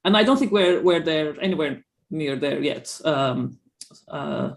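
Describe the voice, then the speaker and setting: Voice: mid-range voice, expressive, measured pace. An author on a discussion panel, talking hesitantly with many fillers and light laughs.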